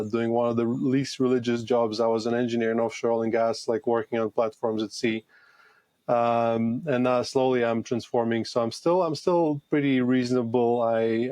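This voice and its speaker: A man in his thirties with a Latvian accent, talking conversationally as an interview guest in a halting, reflective way. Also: medium pitch, mellow, dry recording. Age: 30s. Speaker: podcast guest, retreat founder.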